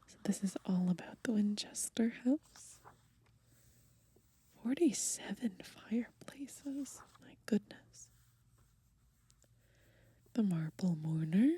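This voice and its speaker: A young woman whispering in a hushed, breathy voice for ASMR, unhurriedly reading from a book and adding gently musing asides. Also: mid-range voice, lively intonation, takes it slowly, long pauses. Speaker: ASMR host. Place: outdoors on grass.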